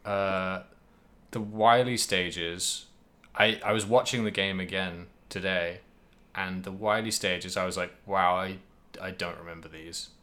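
A man's voice: low voice, fairly even intonation, a little slow, pushed and bright. A man hosting a podcast, casually discussing video games in a rambling way, hedging and thinking aloud as he goes. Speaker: podcast host.

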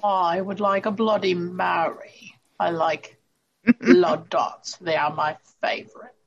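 Woman as a slow, creaky vampire. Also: on the low side, flat delivery, dull audio. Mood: savoring. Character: slow, ghoulish blood-loving vampire.

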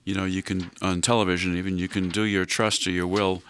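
Mature American male interview host, low register, crisp bright tone, deliberate explanatory delivery. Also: flat delivery. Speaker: host and legal adviser. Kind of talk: conversational interview on legal planning.

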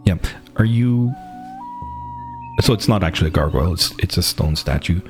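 Guy with a really low voice running a tabletop game, casual narration, faint music behind. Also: animated, normal speed. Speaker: game master.

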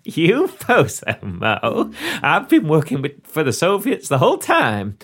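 A sly double agent, put on by a man, talks smugly, and his pitch leaps wildly from line to line. His voice is a little husky. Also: huge pitch swings, a little slow, fairly bright tone, full character voice. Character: treacherous male double agent sidekick.

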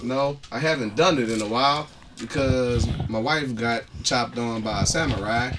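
A man's voice: medium pitch, moderate pace, background noise. A man bantering casually as a podcast co-host, telling stories with profane humor. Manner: profane, joking storytelling.

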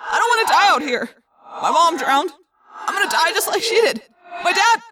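Woman voicing a high, panicky wounded teenage boy. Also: lively intonation, a little slow, dry recording, low-quality recording. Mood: frightened, despairing.

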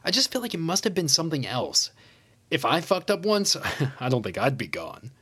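An actor playing a chummy, self-important high school teacher, an American man with a casual, hesitant way of talking, in a naturalistic audio drama. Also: sing-song, moderate pace, pushed and bright, husky, full character voice. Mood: defensive, smug. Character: chummy, vain male high school teacher, former homecoming king.

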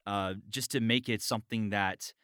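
A man's voice: fairly low pitch, steady tone, moderate pace, a bit bright, clear, resonant tone, dry recording, clean studio sound. A man chatting casually as host and voice actor, with an enthusiastic, encouraging, sweary manner. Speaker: interview show host and voice actor. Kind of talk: casual chat about voice acting.

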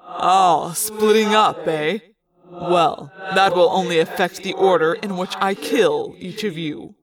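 A woman reading an audiobook voicing a menacing male alien villain who taunts with a slow drawl. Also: lowish voice, recorded from a distance, a crowd in the background, pitched below the performer's own voice, low-quality recording. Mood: gloating.

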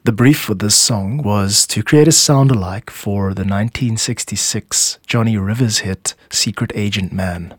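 A man's voice: fairly low pitch, a little slow, few pauses, slightly rough, no room sound, studio quality. A man hosting a show as a music composer, giving scripted explanatory narration. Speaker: podcast host and music composer.

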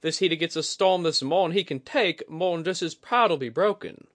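Weathered, plain-spoken seafaring woman played by a male narrator, folksy and superstitious, lifted pitch, for a fantasy audiobook. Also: brisk, a bit bright, no room sound, studio quality. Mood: gruff, bitter. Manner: folksy, clipped dropped-g speech.

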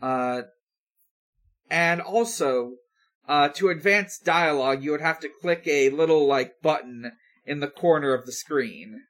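A man hosting a podcast, chatting casually through a recap with a halting, hesitant delivery and frequent pauses. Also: a little high, fairly bright tone. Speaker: podcast host. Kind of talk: casual recap talk with co-hosts.

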